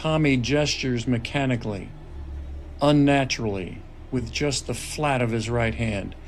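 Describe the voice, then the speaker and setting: A man's voice: medium pitch, measured pace. A man reading stage directions as scripted dramatic narration at a screenplay table read. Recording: noisy table-read room recording.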